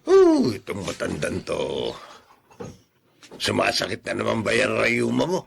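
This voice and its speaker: An actor playing a teasing, kindly old man in a radio drama, with a gravelly, raspy voice. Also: fairly low pitch, wildly swooping pitch, a little slow, a little hiss or hum, heavily acted. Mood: teasing, reassuring. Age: older. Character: teasing, kindly old male friend with rheumatism. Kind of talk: Filipino radio drama acting.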